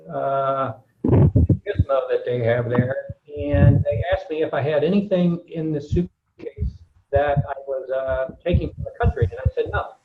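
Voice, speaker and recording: A man from the United States telling a class a relaxed anecdote, folksy and hesitant with frequent fillers. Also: mid-range voice, phone-quality sound, noisy background. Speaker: guest speaker.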